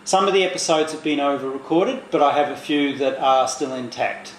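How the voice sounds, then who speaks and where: Male presenter explaining to viewers, slightly raised pitch, recorded in a roomy space with slight hiss. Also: fairly even intonation, fairly quick. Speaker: video presenter. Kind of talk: explanatory video narration. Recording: echoey room, faint background noise.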